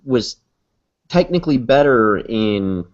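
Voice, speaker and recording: A man co-hosting a casual podcast, measured and hesitant, with many fillers. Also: mid-range voice, expressive. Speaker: podcast co-host. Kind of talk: casual chat. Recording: lo-fi podcast audio.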